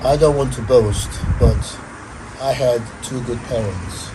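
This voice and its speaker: An older man from Nigeria, talking slowly and deliberately as an interview guest in a studio. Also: mid-range voice, background noise. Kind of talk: conversational interview.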